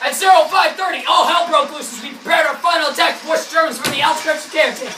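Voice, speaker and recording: A man as an earnest, declamatory young-sounding soldier, bright and high, heard from the back rows of a war play. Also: fast talker. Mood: urgent. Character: earnest American airborne soldier recounting battles as comrade. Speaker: actor in a war drama. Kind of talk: theatrical war narration. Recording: echoey room from far off, noisy.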